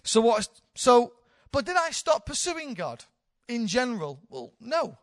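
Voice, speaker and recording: A woman's voice: fairly high pitch, big pitch swings, unhurried, no echo, clean studio sound. A woman in her thirties, a church leader, giving an informal, candid sermon with self-deprecating humour. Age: 30s.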